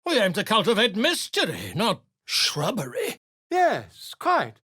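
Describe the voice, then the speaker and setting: A flamboyant, self-important male scribe delivers game lines in a theatrical, flowery manner. His breathy voice leaps through a huge pitch range while he takes his time. The studio sound is dry and close. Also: huge pitch swings, a little slow, sharp tone, no room sound, full character voice. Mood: grandiose.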